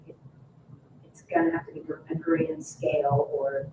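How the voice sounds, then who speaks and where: A board member thinks out loud at a meeting, halting and informal. The sound is echoey and picked up from across the room, with faint noise behind. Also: medium pitch, very animated, vocal fry, highs cut off. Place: indoor public meeting room. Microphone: distant room microphone. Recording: roomy, distant, faint noise.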